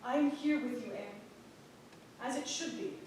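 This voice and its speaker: A high-voiced townswoman acted by a woman, wry and defiant beneath her gracious manners. Every polite phrase is arch and pointed. She is heard from a distance in a very echoey theatre during a play reading. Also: normal speed, a little mellow, lightly acted. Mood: steady, reassuring. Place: stage in a theatre. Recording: echoing theatre, distant mic, faint noise.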